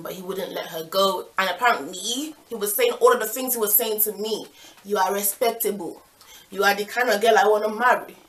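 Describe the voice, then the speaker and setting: A woman's voice: mid-range voice, a bit bright, low-quality recording. Young woman telling a dramatic story. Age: young adult. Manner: slangy, dramatic, quotes people with exasperated emphasis. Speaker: storytelling vlogger. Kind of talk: casual personal storytime, acting out dialogue.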